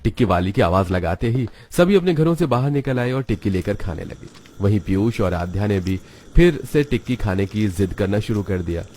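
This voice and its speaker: A man with a low voice narrates a children's cartoon tale. He reads from a script in a storybook style, as the narrator of the story. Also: lively intonation, moderate pace, warm tone, a little hiss or hum.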